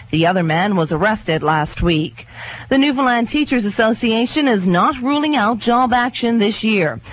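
A woman delivers a radio newscast in a medium-pitched voice. She reads from a script in a news reader's style. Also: poor audio.

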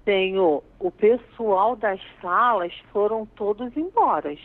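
A woman's voice: mid-range voice, slow pace. A woman with a Brazilian accent answers formally as an operator. She comes through a narrow phone line, with faint music behind. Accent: Brazilian Portuguese. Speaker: telephone operator. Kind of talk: brief formal phone talk.

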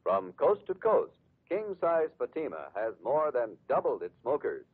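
Lively network radio announcer. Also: male voice, medium pitch, unhurried, breathy edge, dry recording, phone-quality sound. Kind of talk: 1950s radio promotional announcing. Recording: thin old radio broadcast recording.

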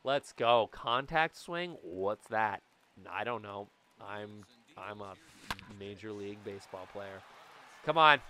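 A man in his thirties giving jokey, excitable commentary over a baseball video game, recorded on a boom mic in a small room at home. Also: takes it slowly, background noise. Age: 30s. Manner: excitable, jokey, bursts into loud yells. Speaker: gaming YouTuber. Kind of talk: gameplay commentary. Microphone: studio mic on boom, webcam framing.

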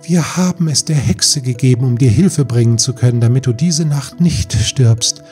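Fairy tale mermaid sister, played by a male narrator: urgent, pleading, pitched up, music underneath. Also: mellow, natural voice. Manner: insistent, pleading, hurried. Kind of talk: fairy tale audiobook dialogue.

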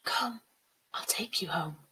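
A woman's voice: on the low side, fairly even intonation, measured pace, sharp tone, exaggerated voice. Woman voicing a whispering, mighty sea spirit. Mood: sorrowful, gentle. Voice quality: breathy whisper. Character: Dia, mighty ancient female sea spirit, solemn and inexorable. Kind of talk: acted children's storybook reading.

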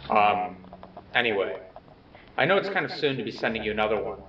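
A man playing an awkward, earnest person recording a low-key video letter to someone absent, speaking haltingly and softly self-effacing. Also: very animated, a little hiss or hum. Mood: hesitant, subdued. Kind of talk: low-key found-footage video message monologue. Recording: dull, echoey, distant playback with music.

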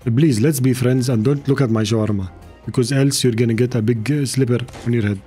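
A man's voice: mid-range voice, steady tone, a little fast, mellow, husky. Arabic-speaking man streaming, music behind. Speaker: game streamer. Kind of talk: casual gaming commentary. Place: small indoor room, streaming setup. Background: game music.

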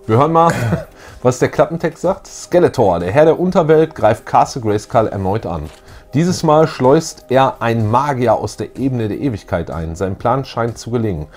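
Lively, jokey man with medium pitch. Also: normal speed. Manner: jokey, colloquial. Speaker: co-host of a retro fan show. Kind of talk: casual chat and reading aloud. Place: small indoor room full of collectibles.

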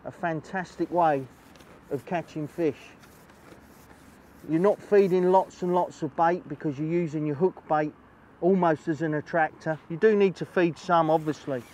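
Male angling presenter, middle-aged, slightly high voice, chatty how-to delivery recorded outdoors. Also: darkish tone, dull audio. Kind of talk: instructional fishing demonstration, chatty. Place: outdoors on a lakeside bank.

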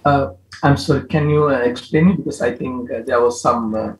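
Halting man on a roomy video call. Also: medium pitch. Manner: halting, searching for English words, many repeats. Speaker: film director. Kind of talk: answering questions over a video call. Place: home study with bookshelves. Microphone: headset on a video call.

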